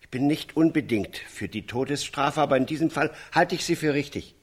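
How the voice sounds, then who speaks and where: Surviving witness for radio drama: lighter-pitched voice, terse, sombre, resolute. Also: male voice, a little fast, slightly rough. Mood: sombre, firm. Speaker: interviewee.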